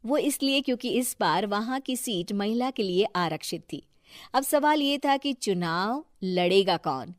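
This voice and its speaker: A woman's voice: medium pitch, animated, unhurried, no room sound, studio quality. A woman narrating a scripted moral story for a cartoon video.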